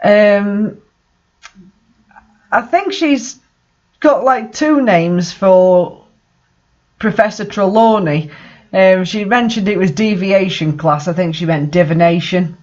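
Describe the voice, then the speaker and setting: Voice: mid-range voice, slow, pauses often, darkish tone. A person casually commenting on fan fiction they read aloud, exasperated, incredulous and swearing freely. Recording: dull lo-fi microphone sound.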